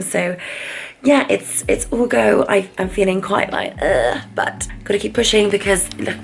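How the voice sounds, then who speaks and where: A woman in her twenties, a startup founder, chatting excitedly in a casual vlog with lots of filler words and a croaky voice. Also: mid-range voice. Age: 20s. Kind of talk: casual vlog chat to camera.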